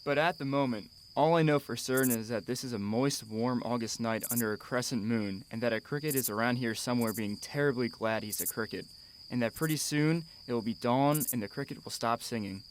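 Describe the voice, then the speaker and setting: A man's voice: mid-range voice, a little fast, a little hiss or hum, lightly acted. An actor playing a wistful, proud middle-aged father in a radio drama, articulate, wry and formal. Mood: reflective, wry. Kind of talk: radio drama acting, letter narration.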